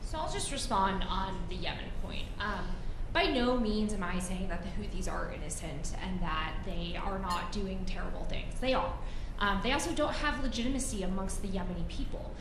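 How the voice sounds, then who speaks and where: A woman's voice: medium pitch, normal speed. A woman panelist in a discussion, talking articulately and assertively, with occasional "um". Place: conference hall. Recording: echoey conference hall with noise.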